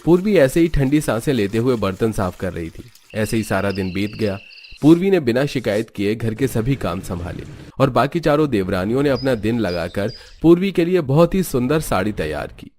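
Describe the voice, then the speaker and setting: A man's voice: medium pitch, very animated, normal speed, darkish tone, a little hiss or hum. A man reading scripted narration for a story.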